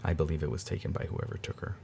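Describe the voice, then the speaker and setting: A man playing a grieving older brother who speaks quietly and haltingly. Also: a little hiss or hum, natural voice. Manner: halting, quiet, restarts under strain.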